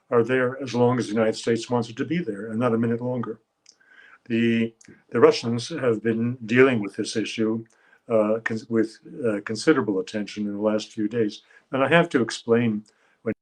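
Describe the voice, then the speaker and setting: A man in his seventies, a guest analyst giving measured expert commentary, often pausing with "uh" as he searches for words. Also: medium pitch. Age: 70s. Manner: measured, halting, with frequent uh fillers.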